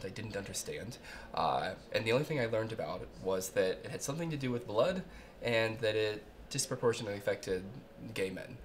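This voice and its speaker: A man's voice: on the low side, noisy background. A man from Ohio who serves as a state representative, telling his story fluently and conversationally in a documentary interview. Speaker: state representative, former minister.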